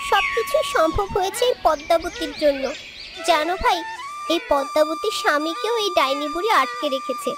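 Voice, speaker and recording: A woman's voice: very high voice, wildly swooping pitch, normal speed, over music, exaggerated voice. A woman voicing an earnest, helpful young fairy trapped as a bird in a children's fairy tale cartoon. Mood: reassuring.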